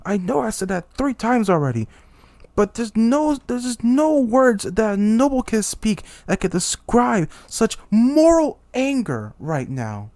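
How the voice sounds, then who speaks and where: A man's voice: fairly high pitch, dry recording. A man voicing a haughty, self-pitying demon king who was once human, in a theatrical, exasperated dramatic monologue. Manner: theatrical, exasperated, sighing, lofty speech slipping into slang. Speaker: voice actor. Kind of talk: dramatic character monologue for a voice reel.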